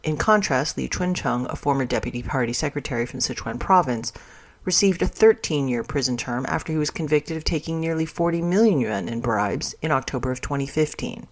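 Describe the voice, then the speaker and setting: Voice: mid-range voice, brisk. A news reader narrating a scripted news story aloud for a podcast.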